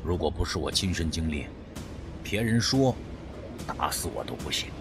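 A man voicing an older medicine teacher in an audiobook, measured and reflective, speaking in an understated, reluctant way. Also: very deep voice, sing-song, vocal fry, music in the background, voice pushed down, exaggerated voice. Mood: hesitant, reflective.

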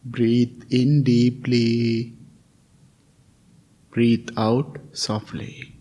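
A man with a soft, relaxed timbre guides a meditation at a very slow pace. He gives gentle instructions in short, spaced phrases, with a soothing manner and a mid-range pitch. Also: husky. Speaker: meditation teacher, Buddhist monk.

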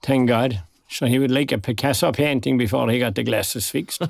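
A man's voice: mid-range voice, normal speed, vocal fry. An actor playing a lonely, wry retired man in his sixties, with an Irish accent and a dry, wistful, understated manner in a naturalistic audio drama. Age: 60s.

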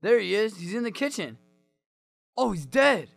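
A man's voice: sits high, sing-song, normal speed, gravelly, fairly bright tone, no echo, heavily acted. A young man playing an anxious, accusing friend in a student radio drama. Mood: alarmed. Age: young adult.

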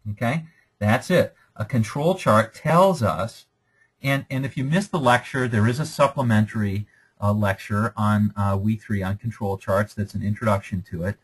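A man's voice: lowish voice, moderate pace, slightly rough, rough recording. A man lecturing over slides in a conversational, explanatory way, often checking in with "okay?".

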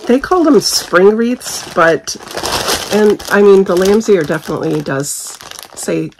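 Woman, 40s, hoarse and gravelly, unhurried and chatty, at-home haul vlogger talking to a camera at desk distance. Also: mid-range voice, expressive, background noise. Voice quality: gravelly, husky. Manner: chatty, candid, frequent ums and little laughs. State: losing her voice. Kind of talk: casual product review chat. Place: bedroom at home.

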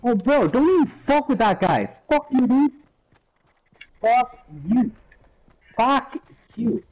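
A man streaming a shooter game, cursing and trash-talking excitedly in casual voice chat with his squad. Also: very high voice, slow, slight background noise, low-quality recording. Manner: crude, profane, excitable trash talk.

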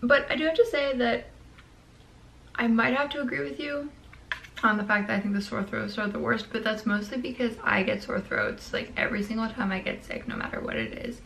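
A young woman with a crackly, gravelly voice chats to camera from a small bedroom, nibbling ice cream between sentences. Her voice sits a little high, and a faint hum of room noise sits under it. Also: fairly even intonation, brisk, a bit bright. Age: young adult. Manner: chatty, eating ice cream while talking. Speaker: vlogger. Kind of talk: casual chat to camera.

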